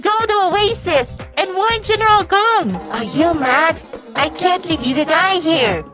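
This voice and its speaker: A woman voicing an alarmed, excitable creature on lookout for a desert tribe in a cartoon comic dub. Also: very high voice, a little slow, over music. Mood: startled, panicked.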